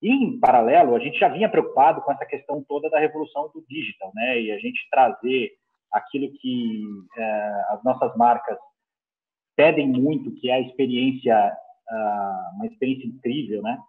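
Man, Brazilian accent, middle-aged, phone-like narrow sound, halting conversational delivery, panel guest. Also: mid-range voice, animated. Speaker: business executive, panel guest. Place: indoor room. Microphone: headset on a video call. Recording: tinny, narrow video-call line.

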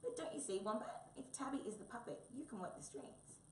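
A woman voicing a small, gentle, timid mouse in a children's storybook, with a sweet, quavering, soothing manner. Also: fairly high pitch, very animated, a little fast, distant mic, voice pushed up. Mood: coaxing. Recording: roomy echo, faint background noise.

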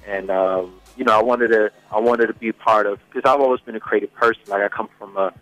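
A man's voice: lowish voice, steady tone, fast, a bit bright, no room sound, lo-fi sound, faint music behind. Laid-back Swedish-born young man. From Sweden. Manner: laid-back, many fillers like "you know". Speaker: singer guest. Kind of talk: casual interview chat.

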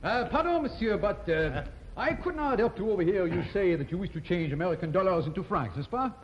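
A man's voice: high-pitched, animated, a little fast. An oily French con man. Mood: ingratiating. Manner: oily, exaggerated politeness. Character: smooth-talking French con man, sly and ingratiating. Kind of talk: 1950s sitcom acting. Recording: dull old TV soundtrack with hiss.

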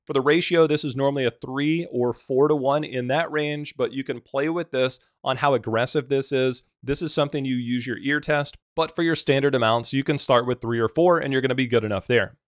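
A man with a deep voice giving a relaxed, practical walkthrough of streaming audio settings. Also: a little fast, few pauses, no room sound, studio quality. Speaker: streaming tutorial host. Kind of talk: screen-recorded software tutorial.

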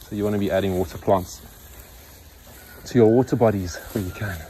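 A man's voice: lowish voice, steady tone, fairly quick, dark tone, a little hiss or hum, poor audio. A man filming himself on a handheld phone outdoors, talking bluntly and matter-of-factly while he works. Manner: blunt, opinionated, matter-of-fact. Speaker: farmer vlogger. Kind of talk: casual vlog narration while working. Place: outdoors in a yard by a pond. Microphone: phone camera held in hand, point of view.

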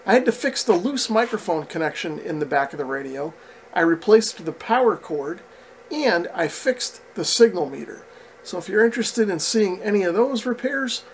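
A man's voice: high voice, slight background noise, rough recording. An American man in his forties giving casual, explanatory narration as host of an electronics repair tutorial. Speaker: host of a radio repair channel.

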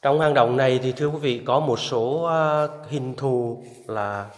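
A man's voice: mid-range voice, unhurried. A man giving an enthusiastic guided tour to viewers, inside a limestone cave. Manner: addresses viewers often, enthusiastic. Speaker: travel vlogger guiding viewers. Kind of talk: casual guided tour narration. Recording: echoey cave with long reverb.

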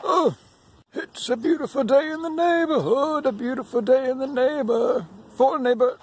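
A man voicing a kindly, forgetful old gentleman puppet in a sing-song, apologetic way. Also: very high voice, moderate pace, slightly rough. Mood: flustered.

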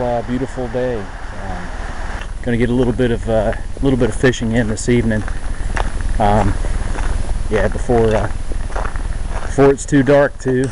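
A man in his forties talks in a relaxed, rambling way full of "uh"s, his voice sitting a little low. He holds the camera at arm's length while walking through the woods. The audio is noisy outdoor camcorder sound with a river rushing behind him. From western North Carolina. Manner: relaxed, rambling, many fillers. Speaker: vlogger. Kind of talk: casual outdoor vlog. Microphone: handheld camera at arm's length, walking. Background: river and outdoor ambience.

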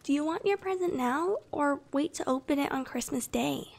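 A woman playing a shy, affectionate young anime-style girlfriend in a soft, often whispered, intimate ASMR roleplay. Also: high voice, gravelly. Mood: sweet, gentle. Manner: slow, gentle, intimate, coy.

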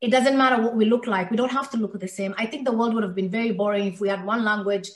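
A woman from Somalia, raised in Kenya, talks as a podcast guest. She speaks earnestly and stresses her points by repeating them. Her voice sits at a middle pitch and carries a noticeable room echo. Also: fairly even intonation, quick pace. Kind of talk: heartfelt conversational interview.

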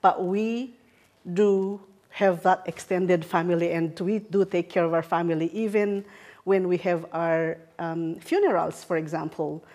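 Low, mellow Filipino woman. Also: fairly even intonation, unhurried. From Philippines. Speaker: interviewee. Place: indoor sitting room.

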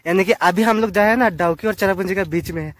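A young man in his twenties vlogging on a phone on a selfie stick, chatting excitedly to viewers on windy hilltops and a swaying bridge. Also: high-pitched, quick pace, no room sound. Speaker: travel vlogger. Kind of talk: casual travel vlog narration. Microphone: phone camera on a selfie stick. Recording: clear wind noise outdoors.

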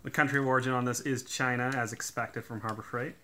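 A man doing a casual hands-on teardown at a workbench, talking in a relaxed way with lots of "uh" fillers. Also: mid-range voice, fast, a little hiss or hum. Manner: relaxed, many "uh" fillers, technical detail. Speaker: DIY tool reviewer. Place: indoors at a workbench.

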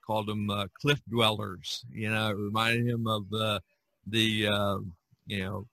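A man hosting a casual podcast discussion, talking haltingly and often repeating his words. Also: fairly low pitch, level tone, bright, forceful tone, dry recording. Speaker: podcast host.

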